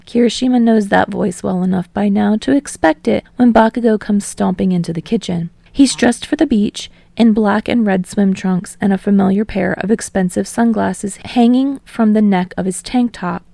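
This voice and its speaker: A woman's voice: medium pitch, normal speed, vocal fry, few pauses, mellow, no room sound. A woman reading scripted fiction as an audiobook narrator.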